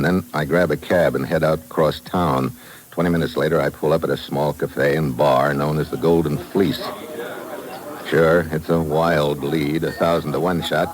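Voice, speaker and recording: An actor playing a tough, dogged American secret agent in a 1950s radio thriller, with clipped, terse delivery. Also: male voice, very deep voice. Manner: clipped, terse, hard-boiled. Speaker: lead actor who also narrates the story. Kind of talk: 1950s radio thriller acting, hard-boiled first-person narration. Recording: vintage 1950s radio recording.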